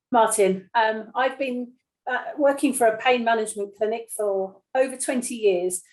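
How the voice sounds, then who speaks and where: A middle-aged woman giving a plain, measured explanation to a lay audience as a health panellist. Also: a little high, darkish tone, rough recording. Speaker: nurse and panellist explaining pain.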